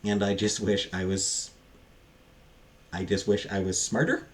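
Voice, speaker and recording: Hesitant, slightly low-voiced man reviewing casually. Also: flat delivery. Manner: hesitant, halting, rambling; self-deprecating. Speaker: book reviewer. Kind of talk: casual unscripted book review.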